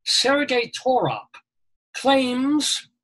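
Middle-aged male radio host with a husky voice; wisecracking news reader. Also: very high voice, big pitch swings, very slow, fairly bright tone, rough recording. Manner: jokey, reads news items with quips. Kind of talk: comedic news chat.

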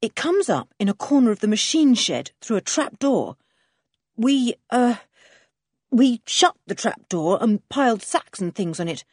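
A woman's voice: fairly high pitch, very animated, a little slow, darkish tone, husky, dry recording, studio quality, voice pushed up, exaggerated voice. A woman voicing a polite, sensible older boy in a storybook, speaking carefully with awkward hesitation and apology. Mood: uneasy.

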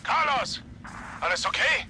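A woman's voice: a little high, animated, a little slow, bright, forceful tone, a little hiss or hum, full character voice. A woman playing an urgent, practical hacker ally in an audio drama, with a strained delivery. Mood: alarmed.

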